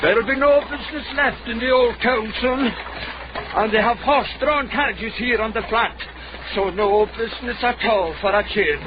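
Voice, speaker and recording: An actor playing a wily, mercenary Scottish sedan-chair carrier in a period radio drama. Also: male voice, very high voice, moderate pace. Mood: brisk, grumbling. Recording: dull radio drama, clear background noise.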